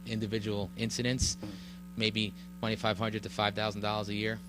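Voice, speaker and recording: A low-pitched man explains a road project at a public meeting, halting and full of fillers, restarting his sentences. A steady electrical hum sits clearly under his voice. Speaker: village official presenting a road project. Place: village board meeting room.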